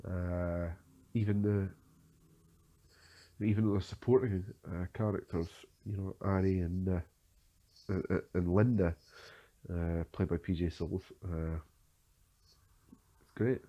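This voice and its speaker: Low-voiced hesitant man with a cold. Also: fairly even intonation, slow, long pauses, dull audio. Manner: hesitant, many fillers and restarts. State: has a cold. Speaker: podcast host giving film commentary. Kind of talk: unscripted film commentary.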